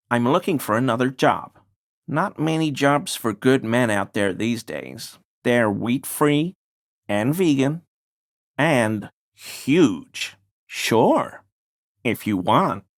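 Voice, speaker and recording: Furtive conspiracy nut, wildly swooping pitch. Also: male voice, no echo, studio quality, full character voice. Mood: evasive, smug. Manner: conspiratorial, obsequious, evasive. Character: paranoid, furtive cryptofascist pie-delivery man, deferential yet smug. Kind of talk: video game character dialogue.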